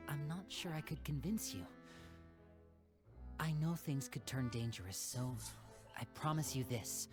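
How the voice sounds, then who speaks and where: A man voicing a calm, protective young magician who acts as an older brother. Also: medium pitch, lightly acted. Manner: calm, gentle and earnest. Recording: game cutscene audio with background music. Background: game music.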